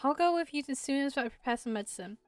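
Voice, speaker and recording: A woman voicing a calm, responsible young man from a game, a steady and matter-of-fact big brother. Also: high voice, quick pace, no room sound, faint music behind. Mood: calm, concerned. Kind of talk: fan voice-over of game dialogue.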